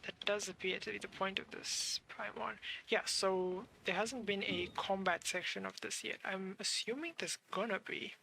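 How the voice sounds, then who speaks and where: Bright, creaky woman game streamer. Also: medium pitch, steady tone, normal speed, hardly any pauses, slight background noise. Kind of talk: casual reactive game commentary.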